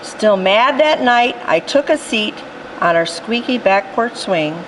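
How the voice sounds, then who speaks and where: A woman reading a rhyming picture book aloud in an expressive storytelling style. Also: medium pitch, takes it slowly, a little hiss or hum, low-quality recording. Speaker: storytime reader.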